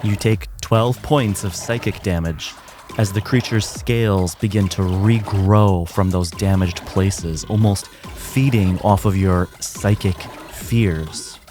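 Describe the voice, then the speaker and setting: Low-voiced man running a tabletop game. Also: slow, music in the background. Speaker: game master.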